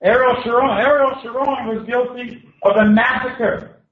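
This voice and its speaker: High-voiced Southern US man orating slowly. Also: fairly even intonation. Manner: declamatory, speaking in short phrases that pause for translation. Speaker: guest speaker. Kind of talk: public speech given through a consecutive interpreter. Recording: echoey hall, distant tinny mic.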